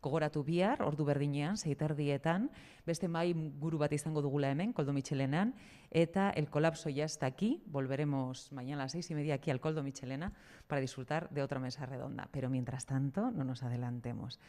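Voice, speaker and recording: A woman's voice: low voice, brisk, warm tone. A woman introducing a public round table as its presenter. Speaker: event presenter.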